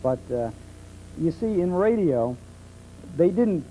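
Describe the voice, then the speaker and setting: Middle-aged man, very mellow tone, explanatory, hesitant pauses, talk show guest, faint hum of muffled 1970s tape. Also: high-pitched, big pitch swings. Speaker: talk show guest, old-time radio enthusiast. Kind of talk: conversational interview answers. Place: television studio.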